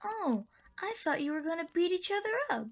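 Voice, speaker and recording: An actress playing a kindly, whimsical old auntie in a radio drama, gentle and wryly indulgent. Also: female voice, extremely high pitch, sing-song, a little fast, full character voice. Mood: playful. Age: older.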